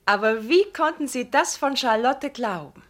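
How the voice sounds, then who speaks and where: An actress playing an unctuous, insinuating married woman in a radio drama, breathy, hesitant and deferential. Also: fairly high pitch, expressive, moderate pace. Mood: sly, deferential. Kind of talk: German radio drama acting.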